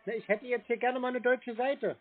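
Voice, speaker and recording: A man's voice: extremely high pitch, fairly even intonation, normal speed, soft background music. A man on a muffled phone line giving terse, obstinate replies as if he were clueless. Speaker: caller baiting phone scammers.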